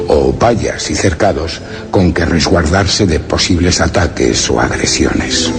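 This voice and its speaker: An actor from playing an anguished, secretive explorer in a suspense radio drama, narrating in grave, formal, literary language. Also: male voice, deep voice, gravelly, heavily acted. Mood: observant, grave. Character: anguished male explorer hiding among a foreign people, solemn and secretive.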